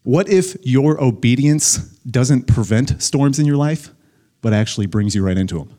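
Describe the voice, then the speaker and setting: A man's voice: medium pitch, animated, normal speed, dark tone. An American man, a guest pastor, preaching conversationally to students in a casual, joking way with youthful slang. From United States, Illinois.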